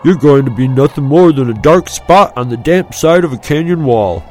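A man's voice: fairly low pitch, moderate pace, dark tone, faint music behind, natural voice. A man voicing an easygoing, smug young male pilot, knowing and emphatic, talking to a friend in an audiobook. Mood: teasing, warm.

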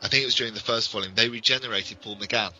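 Bright, forceful British male podcast host. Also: fairly low pitch, steady tone, fast, highs cut off. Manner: detailed, explanatory, enthusiastic. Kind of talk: enthusiastic informal podcast commentary.

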